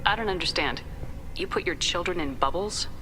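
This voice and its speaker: A woman's voice: lowish voice, moderate pace, noisy background, close to an everyday voice. A woman playing a tough, protective space commander in a video game, speaking in a direct, firm way. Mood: direct, probing. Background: music.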